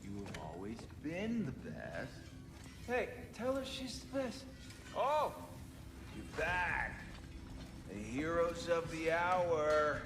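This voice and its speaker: A brash, cheeky video game character with a loose, rambling delivery. His pitch swoops widely and his pace is slow. He sounds affectionate and irreverent, over prominent music. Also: very animated, full character voice. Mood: woozy, teasing. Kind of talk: animated video game acting.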